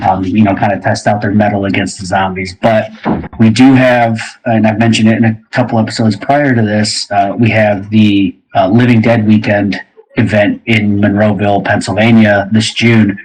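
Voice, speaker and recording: A man's voice: fairly low pitch, flat delivery, normal speed, darkish tone, slightly rough, low-quality recording. A man casually explaining plans as a show host, in an easygoing, hesitant way. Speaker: game creator and show host.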